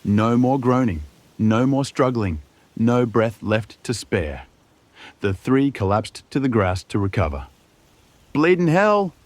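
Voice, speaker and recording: A man's voice: on the low side, lively intonation, measured pace, darkish tone, no room sound. A man reading scripted fantasy narration as a storyteller, dramatic and suspenseful.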